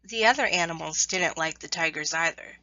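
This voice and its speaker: A woman storyteller with a bright, forward voice reads a children's tale expressively and deliberately. The recording is dry and close, though slightly dull in tone. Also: sits low, no room sound. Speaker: storyteller reading aloud. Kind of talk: scripted children's story narration.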